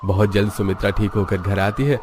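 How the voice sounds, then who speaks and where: A man's voice: lowish voice, fairly even intonation, fairly quick, darkish tone. A man narrating a scripted moral story, with noise in the recording.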